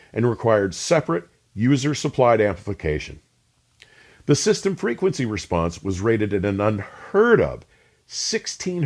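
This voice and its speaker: A man with a fairly deep voice delivers scripted, documentary-style narration. His intonation swings widely, stressing key words. He speaks in a measured way and articulates technical terms with care. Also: a little mellow. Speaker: host and narrator of an audio review channel.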